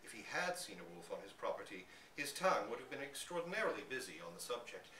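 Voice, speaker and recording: A man giving an expressive live dramatic reading of a literary short story. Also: lowish voice, fast. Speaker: story reader. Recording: echoey room, distant mic, background noise.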